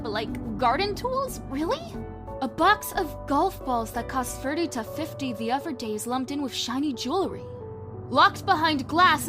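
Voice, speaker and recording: A woman acting an excitable, chatty best friend in an audio drama, bubbly and sassy with exaggerated emphasis. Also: high-pitched.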